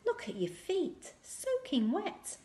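A woman's voice: extremely high pitch, wildly swooping pitch, slow pace, dark tone, voice pushed up, exaggerated voice. A woman voicing a fussy, firm, doting mother in a children's story, calling out and scolding. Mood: fussing, firm.

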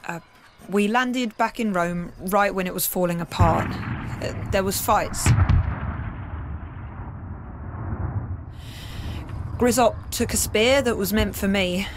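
A woman's voice: medium pitch, a little slow, close to an everyday voice. A woman playing a tender, ageing adventurer reading out a letter home in a plain, informal, wistful way. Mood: wistful, sombre. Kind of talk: in-character letter reading, tabletop roleplay. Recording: clear background noise.

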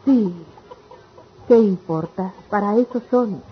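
A woman's voice: mid-range voice, slow, lightly acted. Actress as a weary country mother, muffled radio drama. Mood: weary, resigned. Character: embittered, weary country mother of a grown son. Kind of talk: old-fashioned radionovela acting. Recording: dull lo-fi radio serial with noise.

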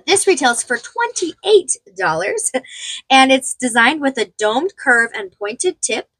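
A woman's voice: fairly high pitch, a bit bright, dry recording, poor audio. A young woman getting over a cold, casually reviewing makeup in a small room at home, rambling and chatty with many fillers. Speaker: beauty vlogger.

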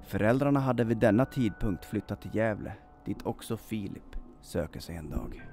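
A man in his thirties reading a scripted true-crime story in a measured, dramatic storytelling manner. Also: fairly low pitch, animated, mellow. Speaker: storyteller, channel host. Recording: music under the voice. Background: background music.

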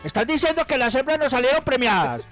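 A sly, festive Colombian football boss in a comic radio soap. The voice is very high, with exclamatory paisa slang, and music plays clearly behind it. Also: male voice, very animated, fast talker, fairly bright tone, heavily acted. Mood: dismissive. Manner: exclamatory, drawling slangy catchphrases.

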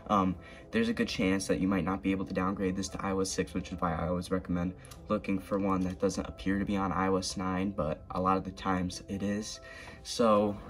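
A teenage boy with a lowish voice, stuffed up with a cold, talks through a casual tutorial. He rambles a little and corrects himself as he goes. Music plays behind him in his bedroom recording. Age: teens. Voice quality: congested, stuffy nose. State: congested with a cold. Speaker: tech tutorial host. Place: bedroom at home. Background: background music.

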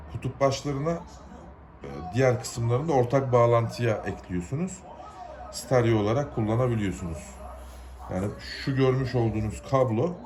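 A man from Turkey gives an informal, unscripted how-to, explaining step by step in plain, colloquial words. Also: lowish voice. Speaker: hobbyist giving a DIY repair tutorial.

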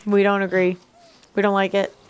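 A woman's voice: mid-range voice, monotone, normal speed, dull audio. A woman chatting casually as a reaction show host. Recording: faint background noise, game audio behind.

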